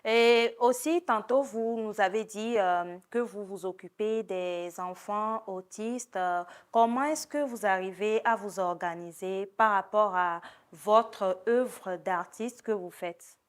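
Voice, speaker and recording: A woman's voice: mid-range voice, takes it slowly, hardly any pauses. A woman hosting a TV interview, addressing her guest politely and formally. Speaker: TV show host.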